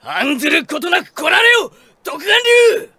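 An actor playing a fiery, earnest, honour-bound young samurai in an audio drama, declaiming formal archaic warrior speech. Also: male voice, extremely high pitch, very animated, normal speed, gravelly, bright, forceful tone, no echo, shouting, heavily acted. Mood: defiant. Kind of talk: Japanese anime-game audio drama acting.

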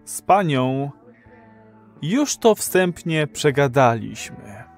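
A man's voice: on the high side, very animated, takes it slowly, faint music behind, heavily acted. An actor playing a fervent, persuasive male rebel in an audio drama, speaking emphatically and colloquially. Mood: challenging. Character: fervent, persuasive rebel man urging his friend to act.

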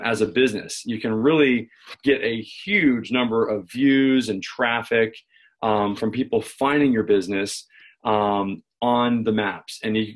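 Male, American, forties, medium pitch. Informal explainer at a desk, conversational, with repeated restarts. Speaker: presenter, local marketing consultant. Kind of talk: informal screen-share tutorial, explaining. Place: small home office indoors.

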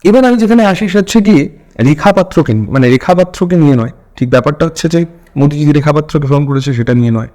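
A man with a West Bengal Bengali accent interviewing conversationally, halting and rephrasing his points as he goes. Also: a little high, animated, a little mellow.

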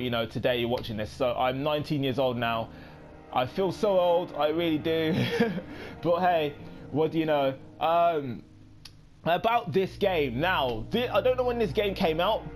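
A young man in his late teens giving casual let's play commentary, rambling with many pauses and quick apologies. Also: fairly high pitch. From United Kingdom. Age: young adult. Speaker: gaming YouTuber.

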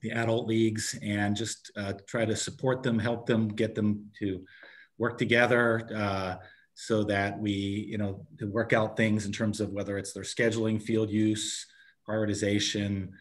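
A man's voice: lowish voice, level tone, a little slow. A man in his sixties giving an informal committee report on a video call, often breaking off and rephrasing as he goes. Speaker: parks and recreation committee member reporting to a town council. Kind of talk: informal spoken report at an online meeting.

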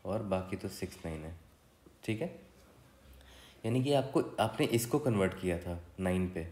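A man's voice: low-pitched, expressive, a little slow, darkish tone. A man with an Indian accent explaining as a coding tutor in a casual, conversational way, often adding little check-in tags. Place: indoor room, voice-over for screen recording. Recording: roomy, echoey voice recording.

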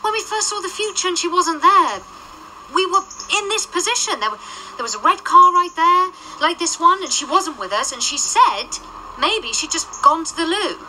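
An actress playing an eager young fire-wielding woman in a radio drama, lively and chatty. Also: very high voice, normal speed, a little hiss or hum, exaggerated voice, poor audio.

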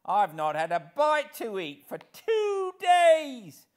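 A rough, down-and-out tramp who whines and grumbles loudly, his voice jumping up high as he pleads, as a male narrator acts him out. The delivery is surly and ragged, with wide swings in pitch. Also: wildly swooping pitch, takes it slowly, heavily acted. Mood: surly pleading. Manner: surly, loud, beggar's whine. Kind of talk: audiobook character voice.

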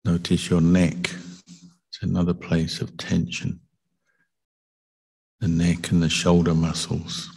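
A man leading a guided meditation as a teacher, speaking calmly and gently with deliberate pauses between short phrases. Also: sits low, gravelly, breathy edge. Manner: calm, deliberate, gentle instruction with long pauses. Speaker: Buddhist monk meditation teacher.

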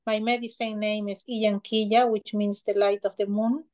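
A middle-aged woman from Peru, speaking slowly and reverently as a healer on a video call. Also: medium pitch, flat delivery. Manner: slow, soft-spoken, reverent gratitude lists. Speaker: shamanic healer guest. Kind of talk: spiritual talk on a video call. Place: small decorated room indoors. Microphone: video call webcam.

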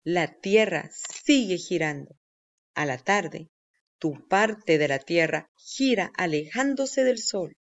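A woman reading a children's nonfiction book aloud with deliberate, clear phrasing for young learners. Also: on the low side, lively intonation. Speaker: read-aloud narrator. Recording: dull, lo-fi sound.

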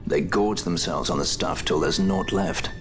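An actor playing a suave, sardonic young sky pirate in a video game, dry wit. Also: male voice, sits low, music in the background, natural voice. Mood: wry. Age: young adult. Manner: dry, wry, unhurried wit. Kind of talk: video game cutscene acting.

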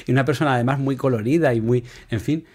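A man's voice: mid-range voice, quick pace, darkish tone. A man in his thirties hosting an interview over a video call, asking long, conversational questions. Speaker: podcast host.

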